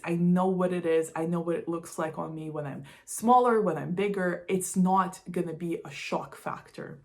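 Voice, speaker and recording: A woman from Russia talking casually and candidly to her viewers, stressing key words in a confessional vlog style. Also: lowish voice. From Moscow, Russia. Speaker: vlogger. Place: studio, indoors.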